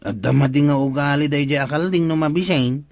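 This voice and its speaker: An actor playing a stubborn, crafty village goat trader in an Ilocano radio comedy drama, with an Ilocano accent. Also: male voice, a little high, brisk, gravelly, darkish tone, no echo, studio quality. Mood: scheming.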